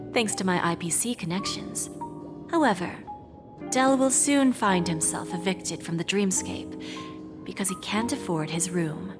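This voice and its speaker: An actress playing a sly, composed dealer in desires in a video game, speaking in a measured, insinuating way and sinking into breathy near-whispers. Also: female voice, medium pitch, close to an everyday voice. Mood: knowing, sly. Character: sly, composed adult female pawnbroker dealing in desires. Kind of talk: scripted game dialogue, storytelling monologue. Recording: music behind the voice.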